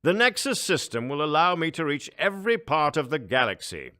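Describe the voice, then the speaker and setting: A man's voice: a little high, big pitch swings, brisk, bright, forceful tone, pitched above the performer's own voice. A man voicing a haughty, scheming alien emperor in an audiobook, imperious and condescending, grandiose with jovial menace. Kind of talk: audiobook character voice.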